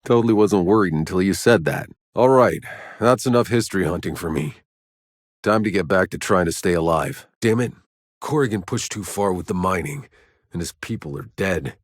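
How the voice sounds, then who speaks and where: A man's voice: low voice, normal speed, no room sound, studio quality. A man playing a sardonic, battle-weary sci-fi soldier hero in a video game, with terse delivery. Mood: wry, sardonic. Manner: sardonic, terse, swears freely. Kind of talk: video game character voice lines.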